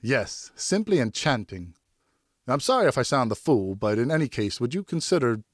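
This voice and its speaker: A man voicing a courteous, self-conscious French gentleman in an audiobook, formal, earnest and slightly flustered. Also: medium pitch, sing-song, moderate pace, no echo, clean studio sound, voice pushed up. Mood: nervous, smitten. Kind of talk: audiobook character dialogue.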